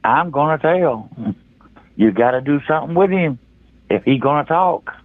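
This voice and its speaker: A man's voice: a little high, lively intonation, moderate pace. Folksy male caller on a phone-like line. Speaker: caller to a talk show. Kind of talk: casual, colloquial phone call. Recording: muffled, narrow phone-like line.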